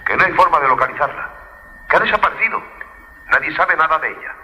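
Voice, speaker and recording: A worried man in a radio horror, urgent and questioning. His very sharp, tense voice moves widely in pitch, with some reverb around it. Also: expressive, fast. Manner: pressing, questioning, incredulous. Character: urgent, worried male friend demanding answers. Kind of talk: horror radio drama acting. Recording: bright but band-limited, reverberant sound.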